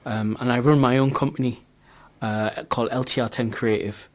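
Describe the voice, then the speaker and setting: A man's voice: medium pitch, steady tone, moderate pace. A man speaking as a guest in an informal radio interview, hesitant and understated. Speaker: interview guest, marketing director.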